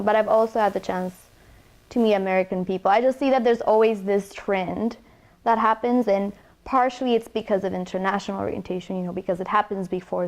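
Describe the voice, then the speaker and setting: A young college woman from Ecuador talks easily and conversationally through interview questions, her voice at an ordinary middle pitch. She fills her answers with "you know" as she thinks aloud. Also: a little mellow. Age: young adult.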